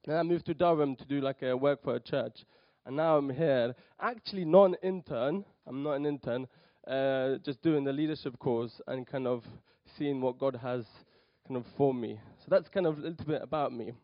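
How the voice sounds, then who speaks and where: A man's voice: mid-range voice, moderate pace, no echo, highs cut off. A young man from Poland, brought up in London, giving a hesitant sermon in church with many ums and restarts. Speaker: lay preacher. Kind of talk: nervous church talk.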